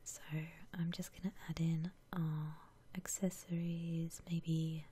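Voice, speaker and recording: A woman whispering softly and breathily in a gentle, musing way as she gives ASMR commentary on a game. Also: sits low, flat delivery, a little hiss or hum. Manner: gentle, unhurried, musing aloud. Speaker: ASMR streamer playing a house-decorating game.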